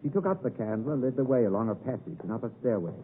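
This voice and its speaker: An actor playing a grown gentleman recalling his boyhood in measured, literary 1950s radio drama narration. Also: medium pitch, sing-song, slight background noise, natural voice. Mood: reflective, earnest. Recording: tinny 1950s radio broadcast, music behind.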